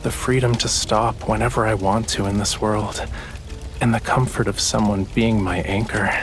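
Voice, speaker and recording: An actor playing a tender, teasing young male artist in a romantic game, speaking in a soft, intimate, unhurried way. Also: on the low side, steady tone, a little hiss or hum, natural voice.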